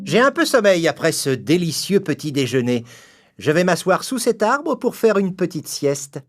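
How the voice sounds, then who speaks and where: A man voicing an arrogant, scoffing hare in an animated children's fable. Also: high-pitched, normal speed. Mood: smug. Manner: arrogant, scoffing, laughs at others. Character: boastful, mocking male hare, smug and lazy. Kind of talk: animated fable acting in French.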